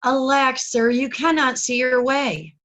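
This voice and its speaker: A woman's voice: high-pitched, steady tone, a little slow, fairly bright tone. A woman playing an anxious, kindly, loyal elderly tenant in a Shakespeare reading. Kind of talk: remote readers' theatre Shakespeare performance.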